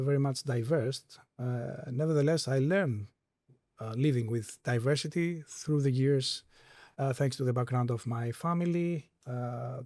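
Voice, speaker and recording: Hesitant man with a mellow voice. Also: medium pitch, dry recording, clean studio sound. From Greece. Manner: hesitant, many fillers. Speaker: claims manager. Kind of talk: podcast conversation.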